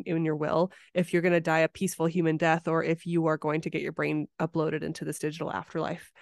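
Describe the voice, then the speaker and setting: A woman's voice: low voice, dry recording, studio quality. A woman chatting casually as the host of a podcast.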